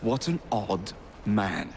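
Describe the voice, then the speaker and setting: An actor with a British accent playing a cocky, brash young assassin who fancies himself a gang leader, wry and swaggering, in a video game. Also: male voice, lowish voice, sing-song, takes it slowly, vocal fry, heavily acted. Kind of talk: video game cutscene acting. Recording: loud music and outdoor noise behind.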